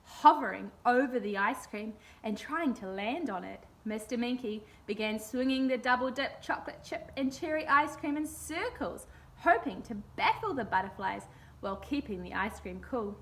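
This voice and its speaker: A woman's voice: a little high, expressive, moderate pace, slight background noise. A woman reading a children's picture book aloud expressively.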